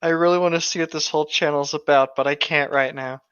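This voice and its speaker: A bright, forward voice of middling pitch, in casual chat and read-aloud among friends. The microphone is dull and a bit muffled, with a dry sound. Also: fairly even intonation, fast talker, clear, resonant tone, dry recording. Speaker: co-host of a comedic group read-along.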